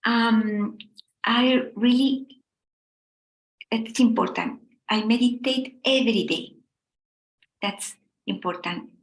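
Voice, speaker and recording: A middle-aged woman from Spain with a Spanish accent, gently leading a meditation talk in short, unhurried phrases with pauses. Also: fairly high pitch, echoey room, poor audio. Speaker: meditation teacher.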